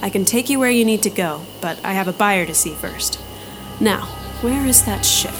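A woman's voice: medium pitch, expressive, moderate pace, over music, close to an everyday voice. A woman playing a tough female space smuggler in an audio drama, with a sardonic, casual manner. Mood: guarded, serious.

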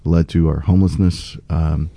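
Earnest middle-aged male candidate, low gravelly voice, very dark tone, dry sound. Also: steady tone, normal speed, no room sound. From United States. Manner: earnest, with frequent fillers and restarts. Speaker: political candidate guest. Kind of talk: conversational interview.

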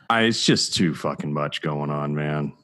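A man's voice: very deep voice, takes it slowly, a bit bright, smooth. A man co-hosting a casual podcast, blunt and profane, laughing often. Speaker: podcast co-host. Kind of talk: casual chat.

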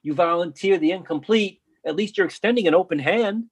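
A man's voice: sits high, brisk, husky. A man reading the part of a blunt college colleague who probes and challenges, in a play. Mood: accusing. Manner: challenging, colloquial, probing. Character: blunt, accusing male college colleague, sympathetic to students. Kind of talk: readers theatre play reading.

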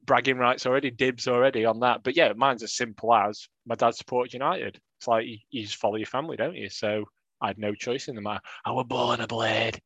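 A man with a voice of middling pitch, trading wry jokes in relaxed conversation. The recording is dry and close, with no echo. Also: fairly quick, slightly rough. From England. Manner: wry, jokey banter. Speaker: podcast co-host. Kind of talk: casual chat.

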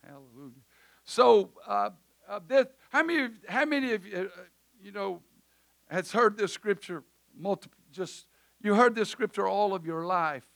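A man preaching a sermon in a church service, loud at times and then dropping to quiet asides. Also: sits high, normal speed, vocal fry. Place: church sanctuary.

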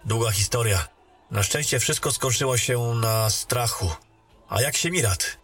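A man voicing a weary warrior back from a mission in a fantasy audio drama, speaking in a calm, matter-of-fact way. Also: medium pitch, measured pace, breathy edge, soft background music.

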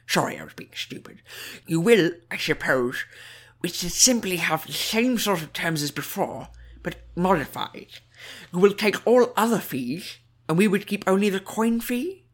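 A wily goblin banker from a fantasy audiobook, voiced by a man. He plays meek and agreeable, putting his questions in a halting, deferential way. The manner is servile and wheedling. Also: high-pitched, lively intonation, pitched above the performer's own voice. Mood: meekly wheedling. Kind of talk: audiobook character dialogue.

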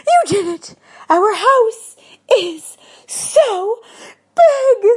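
A woman doing a shrill, excitable wife. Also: slow, slightly rough, exaggerated voice. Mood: gleeful. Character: excitable, bossy wife who shrieks with glee.

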